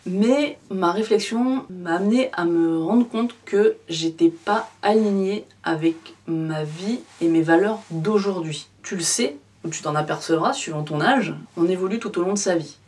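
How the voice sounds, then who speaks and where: A woman in her thirties talks straight to her viewers in a relaxed, chatty way, leaning on fillers as she goes. Her voice sits a little low for a woman. The mic is set back from her, so she sounds slightly distant. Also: moderate pace, few pauses. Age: 30s. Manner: chatty, addresses the viewer informally with many fillers. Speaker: photography YouTuber. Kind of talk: casual direct-to-camera vlog.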